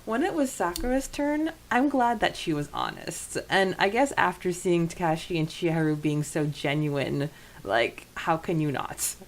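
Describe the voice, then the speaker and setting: A woman's voice: on the low side, lively intonation, normal speed. A woman co-hosting a podcast, talking in a chatty, informal way about a book. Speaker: podcast co-host. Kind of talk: casual book discussion chat.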